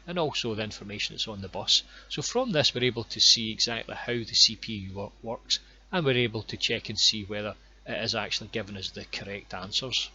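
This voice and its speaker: A Scottish man guiding viewers through his online course with plain, unhurried explanations and a few ums and repeated words. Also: lowish voice, bright, forceful tone, dull audio. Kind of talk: screen-recorded course walkthrough.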